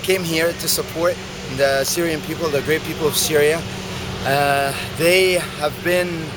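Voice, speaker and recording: An Egyptian American man giving a deliberate, hesitant interview statement. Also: fairly high pitch, fairly even intonation. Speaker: demonstration supporter, interviewee. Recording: clear outdoor background noise. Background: traffic, street noise.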